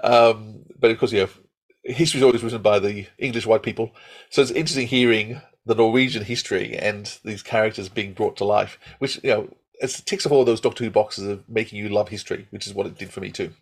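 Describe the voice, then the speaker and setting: A man's voice: lowish voice, steady tone, fast talker. A man hosting a podcast, giving enthusiastic, rambling reviews in casual chat and often breaking off his own sentences. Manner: enthusiastic, rambling with self-interruptions. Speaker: podcast host.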